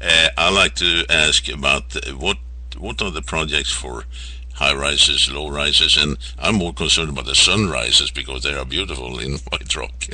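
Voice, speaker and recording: A man hosting an informal radio interview, speaking in a halting, rambling and polite way. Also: extremely low pitch, sharp tone. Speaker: radio show host.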